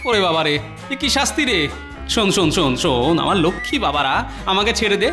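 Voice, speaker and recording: A man's voice: high-pitched, very animated, fast, music in the background. A man playing a witty, pot-bellied older cartoon court jester in a playful, exclamatory way. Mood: flustered. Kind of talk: Bengali cartoon voice acting.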